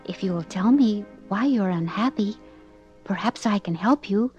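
A woman's voice: medium pitch, normal speed, a little mellow, dull audio, faint music behind, close to an everyday voice. A woman voicing a sweet, polite six-year-old girl who speaks eagerly and kindly.

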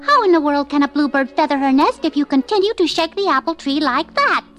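Storybook mother bluebird, woman performer: high, swooping, chirpy, motherly, singsong and cheerfully sensible. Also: animated, fairly quick, fairly bright tone, soft background music. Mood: flustered scolding. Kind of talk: children's storybook character voice.

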